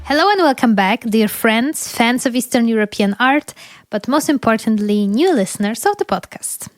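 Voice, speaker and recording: A woman hosting a podcast, reading a measured scripted introduction and later asking interview questions. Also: a little high, lively intonation, no room sound, soft background music. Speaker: podcast host.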